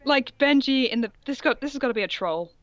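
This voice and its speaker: A woman's voice: high voice, animated, fast, no echo, highs cut off. A woman co-hosting a comedy podcast, reading fan fiction aloud and breaking off for sarcastic, jokey comments.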